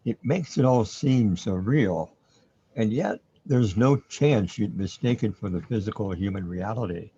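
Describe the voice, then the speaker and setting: Calm radio-play companion played by a man, lowish husky voice, slow matter-of-fact explaining, lo-fi audio. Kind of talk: amateur radio play acting. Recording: dull lo-fi audio.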